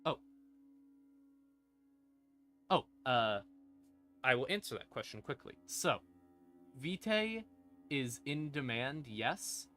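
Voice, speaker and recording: A man hosting a livestream, explaining lore casually and wryly, in halting phrases broken by pauses and emphatic stresses. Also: mid-range voice, sing-song, bright, forceful tone, soft background music. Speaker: livestream host.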